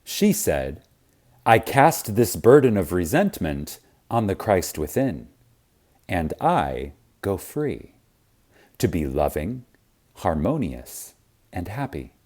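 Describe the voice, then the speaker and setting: A man reading a spiritual audiobook reverently and emphatically, with deliberate dramatic pauses. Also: low voice, very animated, a little mellow. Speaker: audiobook narrator.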